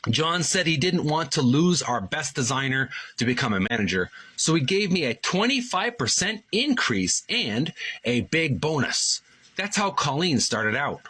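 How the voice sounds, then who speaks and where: A man's voice: fairly high pitch, big pitch swings, a little slow, pushed and bright, husky. A man hosting his own channel, recovering from a cold and casually reading a book aloud, rambling with many fillers. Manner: rambling, filler-heavy, self-deprecating. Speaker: host.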